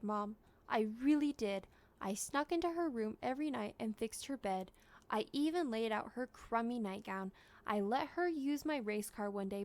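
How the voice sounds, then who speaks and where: A woman voicing a tearful, earnest little boy in a storybook, with a pleading, choked delivery. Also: fairly high pitch.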